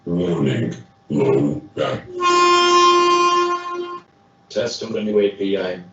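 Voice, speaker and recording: A robotic synthesized man's voice speaks short test phrases extremely slowly, with strongly varying pitch. It sounds echoey, as if played back through a speaker. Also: expressive, loud music behind the voice. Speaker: robot speech synthesis demo voice. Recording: muffled, noisy, echoey speaker playback.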